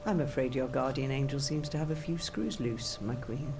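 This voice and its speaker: A woman's voice: rumbling low, normal speed. An actress playing a devoted lady-in-waiting in a video game, courtly and solicitous toward her queen. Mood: wry. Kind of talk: dramatic video game cutscene acting. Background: music.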